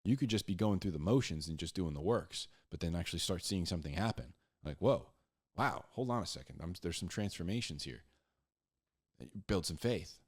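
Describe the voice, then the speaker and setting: Very deep-voiced man teaching casually. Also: expressive, moderate pace, breathy edge. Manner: informal, slangy, repeats words for emphasis; acts out imagined back-and-forth dialogues. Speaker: Bible teacher and storyteller. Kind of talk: casual, conversational Bible teaching.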